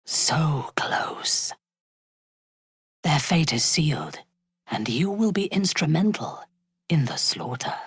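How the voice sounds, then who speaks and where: A woman playing a cruel, haughty dark elf warlord in a fantasy video game, speaking formally and deliberately. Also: low voice, expressive, slightly rough, no room sound, whispered, full character voice. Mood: menacing, commanding. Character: cruel, imperious female dark elf warlord, cold and devout. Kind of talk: dramatic fantasy game voice acting.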